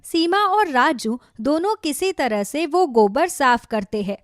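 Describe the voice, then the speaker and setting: A woman's voice: high voice, lively intonation, unhurried, no echo, clean studio sound. A woman reading scripted narration for a cartoon story.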